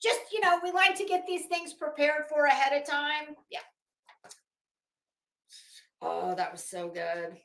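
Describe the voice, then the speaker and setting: A woman's voice: sits high, a little slow, gravelly, long pauses, a bit bright. A middle-aged woman giving casual, opinionated commentary in a sassy, sarcastic manner, drawing out words for dramatic emphasis. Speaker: host of an online commentary show. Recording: roomy, echoing sound.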